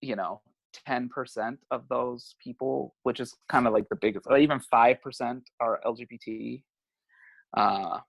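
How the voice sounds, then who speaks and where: Guest, male, late 30s; open, thoughtful talker with easy laughs, mid-range pitch. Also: fairly even intonation, normal speed, vocal fry, no room sound, low-quality recording. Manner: reflective, laughs often, swears casually. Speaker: guest, family therapist. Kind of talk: candid conversational interview.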